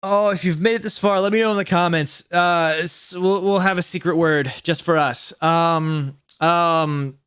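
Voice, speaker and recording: Guy reading a story out loud for laughs, kinda fried, trips on words and complains. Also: fairly high pitch, fairly even intonation, measured pace, hardly any pauses, clear, resonant tone. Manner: stumbles over misspelled words, breaks off into exasperated asides. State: mentally worn out from long reading. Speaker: YouTube host reading fan fiction aloud. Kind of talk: comedic dramatic read-aloud with asides to viewers.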